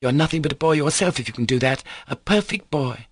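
A man reading an audiobook, voicing an imperious, excitable teenage girl who is bossy, urgent and exclamatory. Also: fairly high pitch, fairly quick, voice pushed up. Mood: bossy, scolding.

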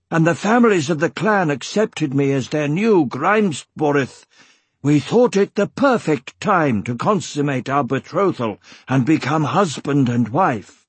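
A man playing a gruff, hearty dwarf clan chief in an audiobook, speaking earnestly in formal, old-fashioned phrases. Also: a little high, no room sound, pitched above the performer's own voice, exaggerated voice. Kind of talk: audiobook character voice.

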